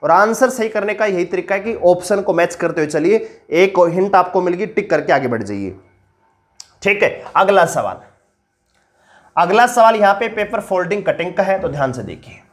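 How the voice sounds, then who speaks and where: A man teaching reasoning for an exam-prep class, with a sore throat. He puts questions to viewers and answers them himself in a lower, slower voice, explaining step by step. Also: lively intonation. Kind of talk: online exam-prep lesson.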